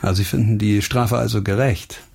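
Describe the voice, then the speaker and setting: An actor playing a disgraced ex-doctor just out of prison in a radio crime drama, wry and weary, with hesitations and muttered sardonic asides. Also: male voice, lowish voice, steady tone, normal speed, gravelly, a little mellow. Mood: cool, probing.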